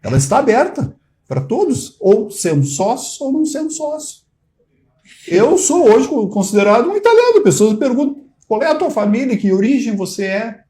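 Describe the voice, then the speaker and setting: A man speaks as a guest on a talk show. He explains at length, hesitating with fillers and restarts, then pushing his points home with emphasis. His pitch moves a lot and his tone stays mellow and warm. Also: big pitch swings, normal speed. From Brazil. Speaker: society treasurer, guest.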